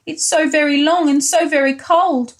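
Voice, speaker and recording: A woman's voice: sits high, fairly even intonation, normal speed, voice pushed up, close to an everyday voice. A woman voicing a sad, cold, long-necked giraffe from a picture book, wishful and plaintive.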